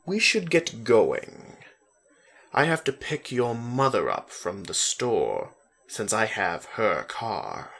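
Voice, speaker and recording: A man's voice: on the low side, big pitch swings, slow, creaky, fairly bright tone, exaggerated voice. A man voicing a casual, skeptical young man in a story reading, with a questioning, dismissive manner.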